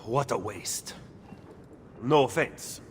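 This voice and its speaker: An actor playing a shrewd, blunt, sceptical strategist in a video game. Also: male voice, medium pitch, takes it slowly, close to an everyday voice. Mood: suspicious. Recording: faint ambient noise behind.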